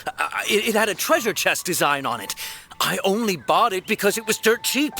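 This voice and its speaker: A man's voice: high-pitched, expressive, normal speed, creaky, bright, forceful tone, soft background music, exaggerated voice. An actor voicing a nervous, shifty young man in a video game, stammering and pleading in a flustered way. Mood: nervous, explaining. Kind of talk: animated video game voice acting.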